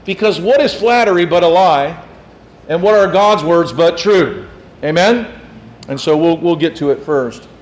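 A man's voice: on the high side, unhurried, low-quality recording. A man preaching a sermon as pastor, in a conversational way, asking the congregation questions and teasing them.

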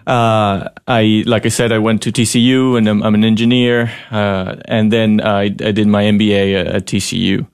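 A man from Ecuador who speaks natively, a guest on a radio interview, talking haltingly with many fillers. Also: on the low side, little pitch movement, smooth. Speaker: guest, school board president. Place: radio studio.

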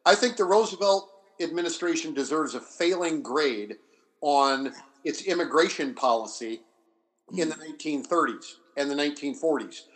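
A man speaking off the cuff as a history scholar in a panel discussion, explaining deliberately with halting restarts and repeated phrases. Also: on the high side, a bit bright, low-quality recording.

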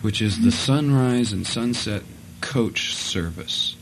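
A man's voice: medium pitch, takes it slowly, a little hiss or hum, poor audio. A man hosting a radio comedy show, asking questions and bantering with the others.

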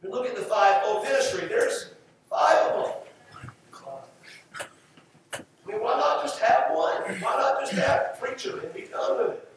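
A man's voice: extremely high pitch, animated, moderate pace, pauses often. A man preaching a church sermon in an emphatic, repetitive rhetorical cadence. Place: church sanctuary with audience. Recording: echoey room, distant mic, faint noise.

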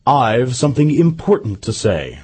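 A woman voicing a languid, sleepy caterpillar in a storybook, stern, contemptuous and terse, with a husky voice. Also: very deep voice, very animated, normal speed, darkish tone, no room sound, voice pushed down, full character voice. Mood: curt.

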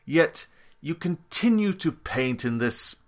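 A man voicing a menacing male goon enforcer who talks slowly and coldly. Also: a little high, expressive, voice pushed up. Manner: slow, measured, coldly menacing.

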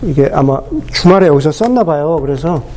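A man preaching a sermon as pastor, his voice hoarse from a cold, talking unhurriedly with many pauses and fillers. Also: a little high, expressive, mellow, slight background noise.

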